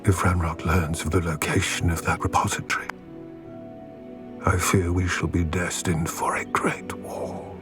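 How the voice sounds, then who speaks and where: A man voicing a grave, weary goblin in a video game, speaking earnestly in measured, formal phrases with regret. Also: sits low, flat delivery, music in the background. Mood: grave, worried. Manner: measured, formal, solemn storytelling. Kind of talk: video game cutscene acting.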